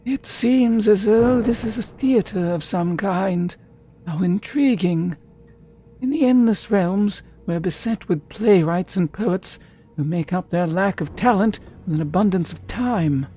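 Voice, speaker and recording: An actress playing a wistful, eloquent wanderer in a fantasy video game, speaking in a formal, musing way. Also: female voice, medium pitch, measured pace, warm tone, slightly rough. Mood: intrigued, musing. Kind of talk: video game character acting.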